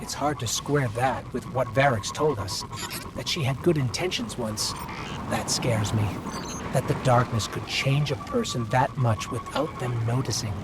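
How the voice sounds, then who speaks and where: A man's voice: mid-range voice, measured pace, over loud music, lightly acted. A man voicing a small, earnest robot companion in a video game, speaking in an inquisitive, conversational way. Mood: thoughtful, uneasy. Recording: very noisy game ambience behind.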